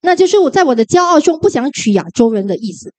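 A woman's voice: high-pitched, very animated, fast talker. A woman interpreting a sermon sentence by sentence, with brief restarts as she translates.

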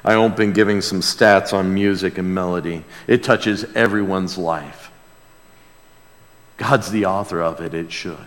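A man preaching and praying to a congregation, earnest in manner, swelling from hushed to emphatic. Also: low-pitched, fairly even intonation, unhurried. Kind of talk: sermon and prayer to a congregation. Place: large church room or hall. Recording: reverberant hall sound.

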